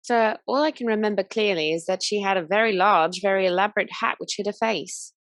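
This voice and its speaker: A woman's voice: mid-range voice, brisk, a bit bright, no echo, close to an everyday voice. A woman playing a deferential, careful and hesitant waitress giving evidence in a period radio play. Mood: earnest.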